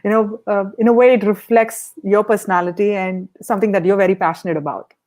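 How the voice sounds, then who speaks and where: A woman's voice: mid-range voice, fairly even intonation, quick pace, a little mellow. Encouraging woman hosting an interview podcast. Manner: encouraging, appreciative. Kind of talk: conversational interview.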